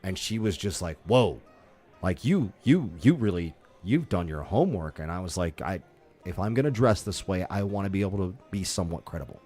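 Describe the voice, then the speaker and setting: A man chatting on a podcast about performing at a festival, speaking in a halting way with many restarts; his first language was Taiwanese. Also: low voice, animated, mellow, husky, faint music behind. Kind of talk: casual podcast chat.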